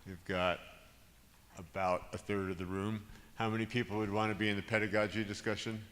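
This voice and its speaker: A man's voice: on the low side, flat delivery, fairly quick, fairly bright tone. A man moderating a summit discussion in a large conference room, talking with frequent restarts and chuckles. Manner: hesitant, restarts often, chuckles. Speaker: panel moderator. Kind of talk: organizing a group discussion. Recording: roomy hall reverb, background hiss.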